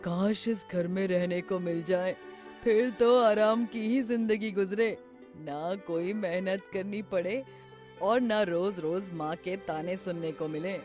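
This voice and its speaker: A woman voicing a lazy, excitable young man in a children's story, exclaiming and wishful. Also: mid-range voice, slow pace, over music, close to an everyday voice.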